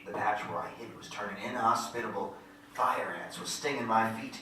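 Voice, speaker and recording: A man playing a narrator who recalls his boyhood adventure in vivid, literary, measured first-person storytelling on stage. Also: on the low side, steady tone, bright, forceful tone, distant mic. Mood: tense, vivid. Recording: very echoey room from afar, faint noise.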